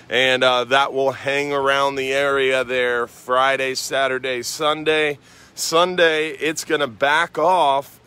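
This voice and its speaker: An American man talks through the weather and the fishing in a relaxed, rambling way, stopping often on "uh". His voice is bright and forward, and he speaks outdoors by the water. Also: mid-range voice. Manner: relaxed, rambling, many "uh" fillers. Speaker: marina host giving fishing report. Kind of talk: casual fishing and weather report. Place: outdoors at a marina at sunrise.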